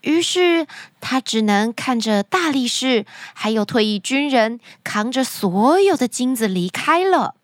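A woman narrating children's stories as a podcast host, expressive and speaking directly to young listeners. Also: fairly high pitch, a little slow, hardly any pauses, no echo, studio quality. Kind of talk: animated storytelling narration for children.

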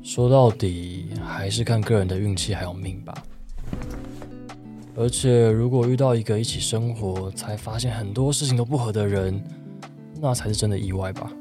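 A reserved, hesitant man in his forties played by a young male actor speaks low in a roomy, echoing space, with guitar music behind him. He is a wary, secret romantic from a radio drama. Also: moderate pace, lightly acted. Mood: reserved, evasive. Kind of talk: radio drama acting with inner monologue.

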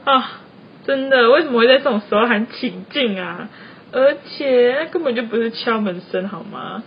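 A woman's voice: on the high side, expressive, normal speed, natural voice. A young woman with a Taiwanese Mandarin accent playing a sensible, easygoing dorm roommate in an amateur campus radio drama. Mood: bemused. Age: young adult. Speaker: student radio drama actor. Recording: dull sound with faint ambient noise.

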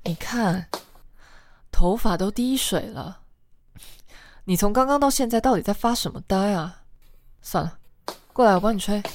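A woman's voice: mid-range voice, normal speed, long pauses, a little mellow, natural voice. A woman playing a caring, mildly scolding bar manager in a radio drama, with a familiar, nagging manner. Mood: fussing, puzzled. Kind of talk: Mandarin radio drama acting.

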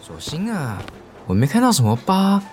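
Playful, excitable young man for audio drama. Teasing, easily flustered, swooping intonation. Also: a little high, moderate pace, soft background music. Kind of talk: Mandarin audio romance drama acting.

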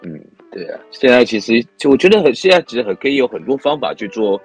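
A woman's voice: rumbling low, very animated, fast talker, a little mellow, slightly rough, soft background music. A woman hosting an interview, encouraging her guest with joking remarks and many affirming murmurs. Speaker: radio show host. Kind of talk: interview chat.

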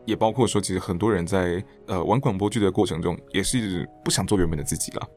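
A man's voice: low voice, quick pace, soft background music. A man with a Taiwanese Mandarin accent chatting casually and animatedly as a guest in an interview. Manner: animated, slips into mock quotes to illustrate points. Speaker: interview guest, stage director and voice actor. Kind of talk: casual podcast interview chat.

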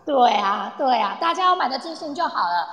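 Cheerful high-voiced tour guide played by a woman, reverberant. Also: animated, a little fast. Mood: cheerful, encouraging. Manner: chatty, addresses group, uses soft sentence-final particles. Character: cheerful, eager female tour guide in Poland. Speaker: tour guide in an audio drama. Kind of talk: amateur live radio-play performance, explanatory. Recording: echoey, long reverb, online audio-room recording.

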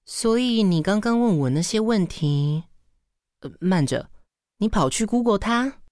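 An actress playing a sarcastic, long-suffering assistant in a radio drama, with a Taiwanese Mandarin accent and a teasing, weary manner. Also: female voice, medium pitch, animated, a little slow, a little mellow, no room sound, clean studio sound, natural voice. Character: sardonic, long-suffering female assistant to a fashion director. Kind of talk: radio drama acting with inner monologue.

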